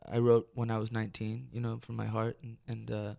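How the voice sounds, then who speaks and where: A man from Detroit, a musician guest on live radio, talking in a reflective, halting way with many restarts. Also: fairly low pitch, completely flat delivery, creaky, mellow. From Detroit, United States. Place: radio studio.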